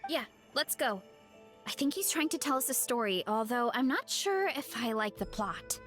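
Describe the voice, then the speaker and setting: A high, girlish-pitched young adventurer played by a woman talks with lively rises and falls. She is a gentle, earnest, thoughtful heroine in a video game, reasoning things out over light music. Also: normal speed, natural voice. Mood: easygoing, thoughtful. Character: sweet, thoughtful, easygoing young female adventurer. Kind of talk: video game character acting.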